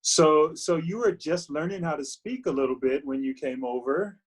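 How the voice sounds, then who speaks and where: Man hosting a youth video call, a bit high, halting and full of fillers. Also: low-quality recording. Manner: halting, many fillers and restarts, energetic. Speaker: youth program host. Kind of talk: casual moderated chat.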